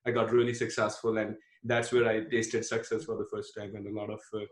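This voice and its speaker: A man in his thirties with an Indian accent shares his story in a low, level voice. He talks earnestly and reflectively, pausing on fillers and restarting his sentences. The room around him has a noticeable echo. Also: flat delivery. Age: 30s. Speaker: podcast guest. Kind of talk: casual interview storytelling.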